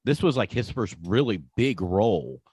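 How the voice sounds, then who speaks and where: A man's voice: fairly low pitch, very animated, moderate pace, darkish tone, breathy edge, no room sound, clean studio sound. A man co-hosting a podcast in casual chat, talking with enthusiasm and emphasis. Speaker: podcast co-host.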